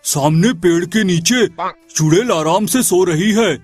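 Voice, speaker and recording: A man voicing a greedy, scheming village husband in a cartoon tale, loud and dramatic, with bossy exclamations. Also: high-pitched, a little slow, slightly rough, no room sound, faint music behind. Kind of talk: animated moral-tale cartoon dialogue.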